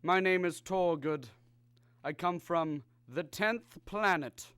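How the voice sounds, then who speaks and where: Slow, smooth, eerily polite alien visitor. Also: male voice, high voice, fairly bright tone, dry recording. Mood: calm, courteous. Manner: formal, measured, deliberate speech. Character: polite, eerie alien visitor from another planet. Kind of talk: radio drama acting.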